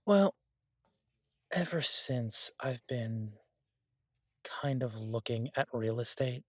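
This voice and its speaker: Man acting a laid-back, unhurried chef, dry close sound. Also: medium pitch, animated, no echo. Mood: tentative, confiding. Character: laid-back, idealistic male chef, devoted to honest food. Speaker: chef and restaurant owner character in an audio roleplay. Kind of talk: intimate conversational audio roleplay.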